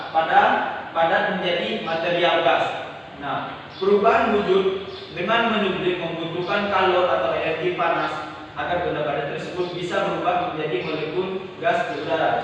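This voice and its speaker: A young man from Indonesia teaching a class, explaining slowly and pausing often on "nah". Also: high voice, a bit bright, a little hiss or hum. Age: young adult. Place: classroom indoors. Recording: echoey roomy classroom, distant mic, dull.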